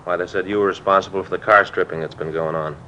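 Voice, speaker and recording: An actor playing a polite, steady American police detective in a crime drama, laconic and civil as he speaks. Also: deep voice, fast talker. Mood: firm. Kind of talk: mid-century American crime drama acting. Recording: dull vintage broadcast, faint noise.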